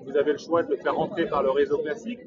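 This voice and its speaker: A man in his twenties from Brittany, an entrepreneur giving measured advice in an interview, with frequent hesitations. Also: a little high, steady tone. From Brittany, France. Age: 20s. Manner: measured and advisory, many hesitations. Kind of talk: interview monologue. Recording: distant, muffled mic with loud background noise.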